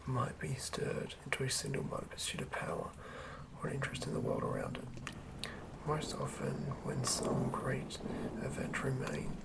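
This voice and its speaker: A man reading fantasy lore as an ASMR narrator in a hushed, breathy, gravelly whisper, measured and with pauses. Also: mid-range voice, rough recording. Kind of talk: ASMR whispered read-through of a fantasy monster manual.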